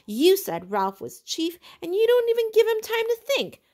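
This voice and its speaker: A woman voicing an indignant, frightened schoolboy in a storybook, protesting plaintively in rough colloquial grammar. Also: very high voice, huge pitch swings, normal speed, a little mellow, voice pushed up, exaggerated voice. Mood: angry, indignant. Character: indignant, frightened, put-upon schoolboy, pleading to be heard.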